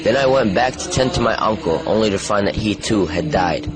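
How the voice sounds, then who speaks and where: A man's voice: fairly low pitch, animated, a little fast, low-quality recording. A man preaching in an earnest, exhortative sermon style that mixes storytelling with direct appeals. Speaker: Islamic preacher. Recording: voice over background music. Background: music.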